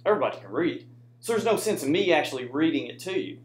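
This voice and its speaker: A man's voice: on the high side, very animated, quick pace, a little hiss or hum. A man with an American accent giving an informal presentation to an audience, talking conversationally and often checking in with listeners. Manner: conversational, addresses audience with frequent "right?" tags. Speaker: student presenter, soldier. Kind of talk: informal classroom presentation with slides. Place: indoor room with audience. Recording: echoey room.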